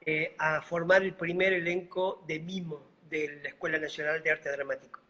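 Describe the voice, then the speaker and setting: A middle-aged man from Buenos Aires, with an Argentine accent softened by a Bolivian lilt, telling his story in an unhurried, gentle way. His voice sits a little higher than most men's. It comes through a video call. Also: level tone, slight background noise. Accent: Argentine Spanish, slightly Bolivian-tinged. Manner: unhurried, gentle, fond of diminutives. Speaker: guest, mime and clown actor. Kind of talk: casual interview storytelling.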